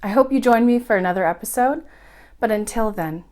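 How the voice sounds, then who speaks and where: A woman's voice: on the high side, fairly quick, darkish tone. A woman reading a children's story aloud expressively, as the host of a bedtime storytime.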